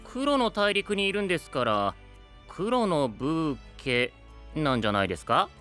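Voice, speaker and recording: A man's voice: on the high side, big pitch swings, normal speed, a bit bright, faint music behind. An actor playing a polite, playful young male knight with courteous, theatrical delivery in an anime-style voice drama.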